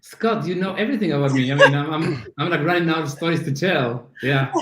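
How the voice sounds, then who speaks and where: A man's voice: fairly high pitch, fairly quick, noisy background. Roomy-sounding man with Argentine Spanish accent. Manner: self-deprecating, many restarts and fillers. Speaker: guest, CrossFit coach. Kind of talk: casual interview chat. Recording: roomy echo.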